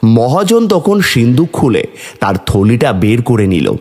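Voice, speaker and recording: A man narrating a scripted children's folk tale with expressive storytelling delivery. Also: mid-range voice, a little slow, background noise. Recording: long hall-like reverb.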